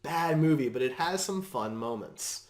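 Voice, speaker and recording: An echoey room recording of a man hosting a podcast. His voice sits at an ordinary middle pitch, and he talks in a casual, conversational way about movies. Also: expressive, normal speed. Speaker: podcast host.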